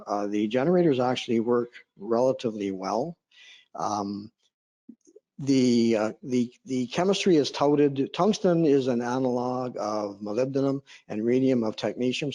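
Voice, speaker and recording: A man's voice: mid-range voice, a little mellow. Droning man lecturing with many fillers. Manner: hesitant, many fillers and restarts. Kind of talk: conference lecture on slides.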